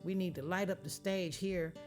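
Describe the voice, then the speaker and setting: A man's voice: high-pitched, fairly even intonation, normal speed, voice pushed up, lightly acted. A man voicing an earnest young male friend who encourages his buddy, with guitar music behind. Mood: encouraging.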